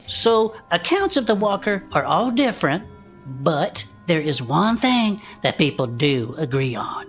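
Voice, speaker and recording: Woman playing a folksy, animated Southern adventurer. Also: fairly low pitch, unhurried, faint music behind. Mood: amused, wary. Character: folksy former adventurer hosting a cryptid-hunting show, excitable. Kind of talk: chatty in-character audio drama banter.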